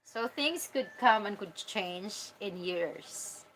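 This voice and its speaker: A woman's voice: mid-range voice, lively intonation, slow pace, fairly bright tone, slight background noise, rough recording. Casual Filipino-accented woman chatting candidly. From Philippines. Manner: candid, informal, talking directly to viewers. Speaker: vlogger answering live chat comments. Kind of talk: casual livestream chat.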